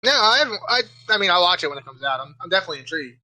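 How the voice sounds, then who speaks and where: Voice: mid-range voice, very animated, rapid-fire, pushed and bright, poor audio. A podcast co-host chatting casually and enthusiastically about video games. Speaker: podcast co-host and game reviewer. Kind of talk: casual gaming podcast chat.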